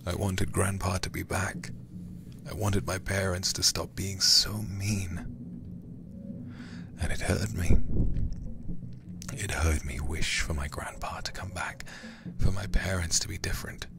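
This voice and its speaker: An earnest, sorrowful little girl from a horror game confides in a plaintive whisper, read by a very deep-voiced man. Background noise is clearly present. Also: fairly even intonation, unhurried. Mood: sad, earnest.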